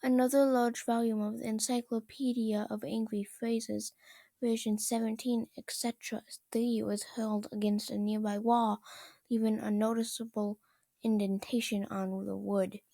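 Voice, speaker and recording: A woman with a fairly high voice reads fan fiction aloud as an amateur narrator. Her delivery is halting and trips over words. The sound is dry, with little room. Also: level tone, vocal fry, dark tone, dry recording.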